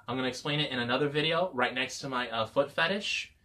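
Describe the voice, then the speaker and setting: Twenties guy rambling on his vlog, lots of you-knows, bright tense voice. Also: medium pitch, fast talker. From Michigan, US. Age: 20s. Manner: rambling, many "you know" fillers. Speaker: vlogger. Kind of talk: casual talk to camera.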